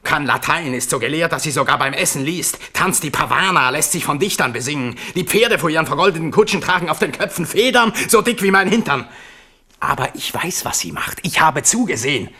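A man's voice: fairly high pitch, expressive, a little fast, sharp tone, husky, heavily acted. An actor playing a childish, spiteful young king in a 1970s radio drama, whining and crude.

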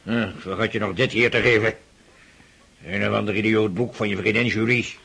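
Strict old nobleman dad in a radio play, raspy n creaky, impatient, sarcastic. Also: lowish voice, steady tone, fairly quick, a bit bright, exaggerated voice. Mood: gruff, dismissive. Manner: brusque, impatient, mocking. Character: stern, sarcastic aristocratic father drilling his daughter in mathematics. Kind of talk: Dutch radio drama acting.

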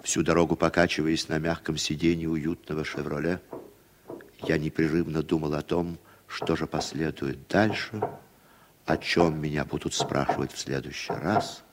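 An actor playing a troubled American writer and radio commentator who narrates his story with measured, expressive delivery in a radio drama. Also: extremely low pitch, background noise. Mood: brooding, puzzled. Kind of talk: Soviet radio drama acting, dramatic first-person narration. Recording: 1960s Soviet radio recording with music.

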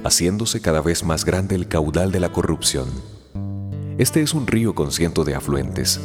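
A man narrating a radio drama in a poetic, scripted style. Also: low-pitched. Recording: long reverb, music behind.

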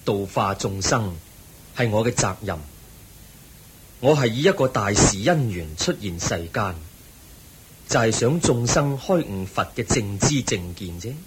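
An actor playing the Buddha, a calm and compassionate teacher who speaks gently and patiently, in a radio play. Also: male voice, fairly low pitch, a little hiss or hum. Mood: serene. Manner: gentle, patient, measured preaching. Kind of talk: Buddhist radio drama acting.

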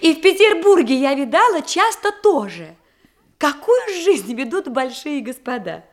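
A woman's voice: high-pitched, big pitch swings, measured pace. An actress playing a polite, cheerful and artful young unmarried woman, courteous and theatrical, in a radio comedy in verse. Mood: lively, confiding.

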